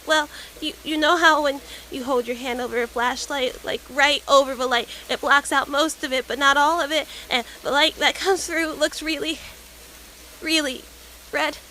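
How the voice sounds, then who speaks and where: A woman's voice: high-pitched, fairly even intonation, bright, forceful tone, slight background noise. A scared little boy. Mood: frightened. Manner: halting, stammering, repeating words. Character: frightened young boy, timid and stammering. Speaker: voice actor. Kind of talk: horror audio drama acting.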